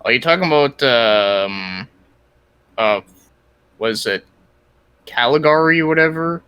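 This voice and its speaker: A man's voice: mid-range voice, animated, unhurried, bright, forceful tone, smooth, no echo. A man chatting casually as a podcast guest, rambling with lots of "like" and some swearing. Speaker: guest, creator of a fan parody series.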